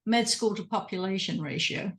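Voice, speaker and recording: A woman's voice: medium pitch, moderate pace, a bit bright. A woman hosting an interview podcast, a physician herself, asking curious follow-up questions and giving short affirmations. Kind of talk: conversational interview.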